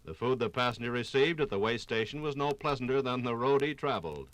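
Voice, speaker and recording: A man narrating a scripted 1950s radio documentary with dramatic, storytelling phrasing and deliberate pauses. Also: medium pitch, lively intonation, a bit bright, no echo.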